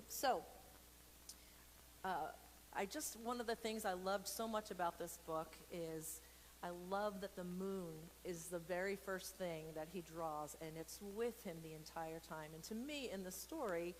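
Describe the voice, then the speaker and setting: Woman reading to kids, playful n chatty, lots of asides, big echoey hall sound, bit of noise. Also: medium pitch. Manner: playful, expressive, with silly sound effects and asides; informal and encouraging, talking straight to viewers. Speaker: storyteller and church program host. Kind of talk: casual read-aloud for kids with chatty asides.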